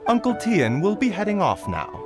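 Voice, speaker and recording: A man playing a calm, courteous doctor in a video game, with a measured, reassuring, formal manner. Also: high voice. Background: background music.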